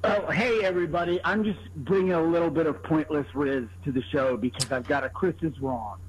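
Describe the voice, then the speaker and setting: A man calling into a talk radio show with a deadpan joking correction. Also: a little high, fairly quick. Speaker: caller. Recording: muffled phone call.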